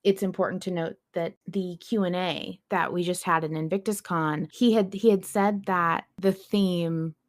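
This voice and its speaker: A woman with a slightly low voice co-hosts a fan podcast. She talks casually and earnestly, putting real emphasis on her opinions as she goes. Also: fairly even intonation, moderate pace, few pauses. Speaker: podcast host. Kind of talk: casual fan discussion of an audio drama.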